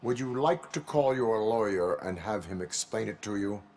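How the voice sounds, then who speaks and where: An actor playing a stern robotic cyborg police officer in a video game, speaking formally in a clipped, deadpan way. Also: male voice, on the low side, normal speed. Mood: flat, official. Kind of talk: video game cutscene acting.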